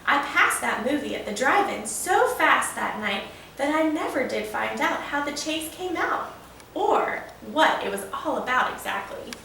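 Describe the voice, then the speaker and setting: A woman's voice: high voice, big pitch swings, a little slow, gravelly, pushed and bright, heavily acted. A woman voicing a curious, admiring male listener who asks eager questions in a children's storybook. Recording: echoey room, distant mic, faint noise.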